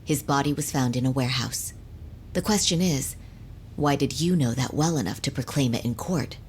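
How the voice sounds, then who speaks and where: Low-voiced, formal lawyer. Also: female voice, moderate pace, a little mellow, slight background noise. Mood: cool, probing. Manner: formal, dry, precise. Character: cool, formal, unimpressed female lawyer. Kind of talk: English video game dub acting.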